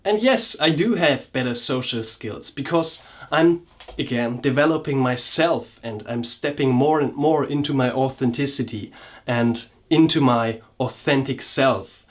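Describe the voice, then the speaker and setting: A man's voice: mid-range voice, a little slow. A man talking through his personal experience in a reflective vlog, hesitant and often restarting his sentences. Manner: hesitant, searching for words, frequent restarts. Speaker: vlogger and coach.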